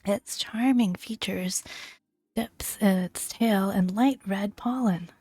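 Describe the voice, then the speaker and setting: A woman speaks in a soft, breathy ASMR voice that often slips toward a whisper. She takes her time, pausing gently between phrases as she reads and adds small asides. The sound is close and very dry, with faint music underneath. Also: mid-range voice, no echo. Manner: gentle, unhurried, with long pauses between phrases. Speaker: gaming video host. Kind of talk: soft-spoken ASMR, reading game entries aloud with casual asides.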